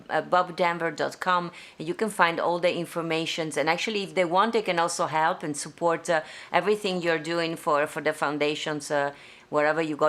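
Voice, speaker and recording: Italian woman host, very low voice, halting live-stream chat over a video call. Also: fairly bright tone. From Italy. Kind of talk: casual live-stream interview. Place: her home.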